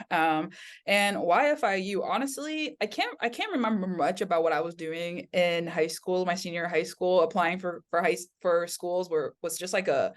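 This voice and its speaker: A woman in her twenties from Taiwan, a podcast guest talking in a chatty, candid way. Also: on the low side. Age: 20s. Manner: chatty, candid, laughing easily. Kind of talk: casual interview.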